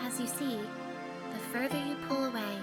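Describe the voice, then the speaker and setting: A solemn spirit pony acted by a woman in an audio story. Its high voice is formal and theatrical, with music clearly audible behind it. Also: unhurried. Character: mystical pegasus or pony spirit, solemn, rhyming guide. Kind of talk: dramatized fan fiction reading.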